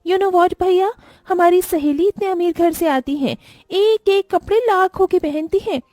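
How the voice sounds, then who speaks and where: A woman's voice: very high voice, a little slow, warm tone, no echo. A woman voicing a haughty, snobbish young sister in a cartoon moral story, speaking in a scornful, scolding way. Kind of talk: animated moral-story acting.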